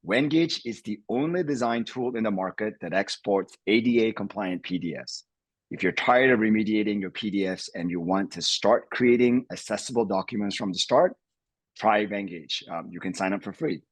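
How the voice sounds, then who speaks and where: A man hosting an interview podcast, speaking in a halting way with frequent restarts. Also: on the low side, no echo. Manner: halting, restarts words often. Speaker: podcast host, company CEO.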